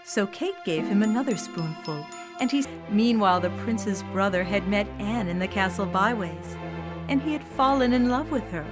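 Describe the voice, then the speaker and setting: A woman's voice: medium pitch, lively intonation, moderate pace. A woman storyteller reading a scripted fairy tale for children, with music behind her voice.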